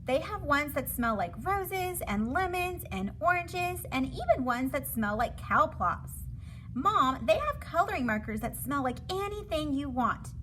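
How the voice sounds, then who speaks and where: A woman's voice: high voice, very animated, a little slow, fairly bright tone, a little hiss or hum, heavily acted, low-quality recording. A woman voicing an eager, insistent little girl who pleads and wheedles in a children's storybook. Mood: wheedling, insistent.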